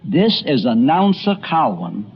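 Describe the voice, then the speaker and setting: A man quoting a formal 1920s radio announcer with deliberate delivery. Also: medium pitch, very animated, creaky, lo-fi sound, slight background noise. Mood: formal, courteous.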